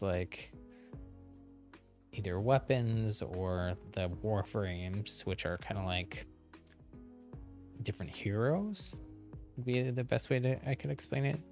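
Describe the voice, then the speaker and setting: A man with a fairly low voice hosts a casual game stream. He talks informally and haltingly, as if working out his thoughts aloud. Faint game music plays behind him. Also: a little mellow. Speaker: livestreamer, channel host.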